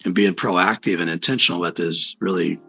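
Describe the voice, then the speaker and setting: Male podcast host, quite low pitch with a bright edge, conversational coaching style, affirming. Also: fairly even intonation, a little fast, creaky. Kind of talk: conversational coaching commentary on personality types.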